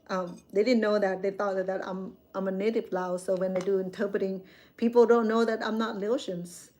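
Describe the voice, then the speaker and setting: A woman's voice: medium pitch, darkish tone. Older woman, a chef streaming live to her viewers from a phone; chatty and rambling, often restarting her sentences. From Cambodia. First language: Khmer. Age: 60s. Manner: chatty, rambling, frequent self-corrections.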